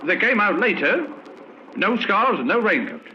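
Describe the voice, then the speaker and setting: Decrepit old male driver, high and animated, muffled vintage radio drama. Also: moderate pace. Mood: eager. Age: older. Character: decrepit elderly van driver, eager and surprised witness. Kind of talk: 1950s radio crime drama acting. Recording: noisy dull old radio recording.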